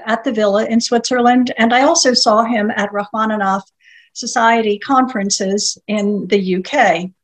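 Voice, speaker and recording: An older woman whose first language is Russian, giving a measured online lecture from a home study with bookshelves, pausing often on fillers. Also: medium pitch, low-quality recording. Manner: measured, with frequent filler pauses. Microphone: webcam video call.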